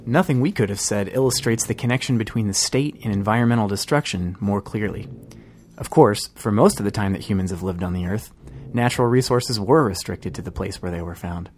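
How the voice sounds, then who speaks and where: A man co-hosting a podcast, reading scripted news and commentary in a measured, articulate way. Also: fairly low pitch, darkish tone, slight background noise. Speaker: podcast co-host.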